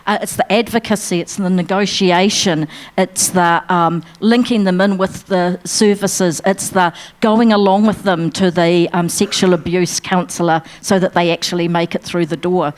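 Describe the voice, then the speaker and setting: A woman's voice: lowish voice, fairly even intonation, normal speed, few pauses. Earnest New woman on a panel. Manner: earnest, passionate, many ums and restarts. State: losing her voice. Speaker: financial mentor panelist. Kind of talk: panel discussion answer. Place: conference room.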